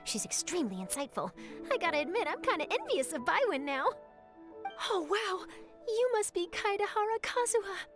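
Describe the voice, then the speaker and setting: A woman's voice: extremely high pitch, big pitch swings, normal speed. A woman voicing an eager, bubbly young reporter in an anime game, enthusiastic and inquisitive. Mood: excited. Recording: soft game music behind.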